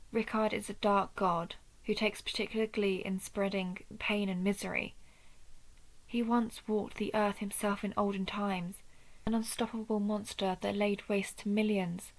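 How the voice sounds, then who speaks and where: A woman playing a wry vampire who hunts her own kind, speaking in a deliberate, hushed way in a scripted audio roleplay. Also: mid-range voice, rough recording. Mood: awed, grave.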